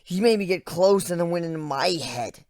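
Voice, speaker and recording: A reader voicing a traumatized, frightened and angry griffin in a dramatic story reading, halting and overwrought. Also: mid-range voice, expressive, no echo, studio quality, close to an everyday voice. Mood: scared, hushed. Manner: overwrought, halting, swinging from hushed to shouting. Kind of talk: dramatic reading of fan fiction.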